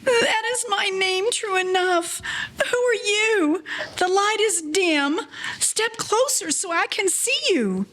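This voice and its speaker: A woman's voice: very high voice, expressive, slow, fairly bright tone, a little hiss or hum, full character voice. A woman playing a frail, earnest young 1940s mother in an old-time radio drama. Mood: weak, frightened.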